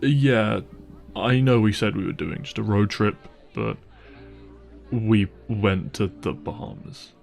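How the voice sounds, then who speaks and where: Slow, low-voiced gentle boyfriend played by a man. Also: steady tone, gravelly, faint music behind. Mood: earnest, explaining. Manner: gentle, hesitant, apologetic, reassuring. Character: wealthy, caring young boyfriend confessing his secret. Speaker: audio roleplay performer. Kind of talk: intimate boyfriend audio roleplay.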